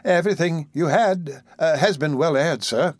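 A man's voice: a little high, moderate pace, no echo, pitched above the performer's own voice, lightly acted. Give me a kindly, bustling old housekeeper woman, as a male reader puts her on, raised and lilting, with a dry sound. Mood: motherly. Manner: good-humoured, motherly, bustling.

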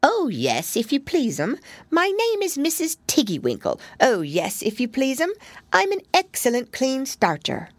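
Fussy humble hedgehog washerwoman, read by a woman, higher and louder than the narration, dry sound. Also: huge pitch swings, measured pace, no room sound, exaggerated voice. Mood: fussy, polite. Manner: deferential, repeats polite phrases.

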